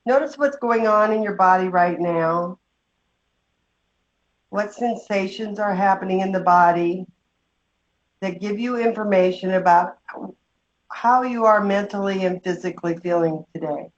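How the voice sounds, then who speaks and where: A woman leading a guided meditation, giving slow, gentle instructions with long pauses. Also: mid-range voice. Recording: dull, muffled lo-fi sound.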